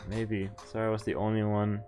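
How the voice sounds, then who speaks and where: A man's voice: lowish voice, monotone, a little slow. A man streaming a game, reading visual novel text aloud and commenting in a casual, sweary way. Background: faint game music.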